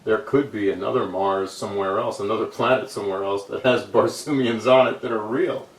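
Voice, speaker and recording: A man's voice: lowish voice, steady tone, brisk. A man making his points in an informal group discussion. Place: indoor room, recorded from a distance. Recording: echoey room, distant mic, faint noise.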